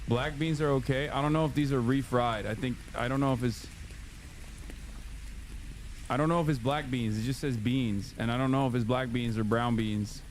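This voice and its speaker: A man from Turkey hosts a relaxed stream and chats loosely with his guest. He restarts sentences and fills gaps with "like" and "uh." His voice sits in a mid range, with faint background noise. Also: mid-range voice, normal speed. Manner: rambling, many fillers and restarts, talks while ordering food. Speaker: streamer host. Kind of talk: casual livestream chat.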